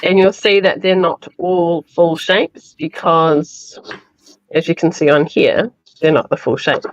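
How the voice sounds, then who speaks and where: A woman with a New Zealand accent, sounding croaky from illness, chatting through a live crafting tutorial step by step. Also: on the low side, steady tone, unhurried, no echo, dull audio. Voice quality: slightly hoarse. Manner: chatty, explains step by step with fillers. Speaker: craft demonstrator.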